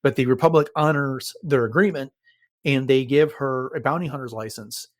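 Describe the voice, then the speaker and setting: A man with a mid-pitched voice talks as a podcast host. He recaps and reviews in a casual, conversational style, thinking aloud as he goes. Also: moderate pace.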